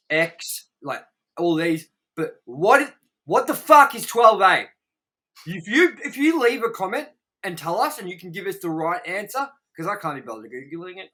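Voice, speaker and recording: Male review host, bright slightly high voice, exasperated, sweary, casual chat. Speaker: host of a movie review series.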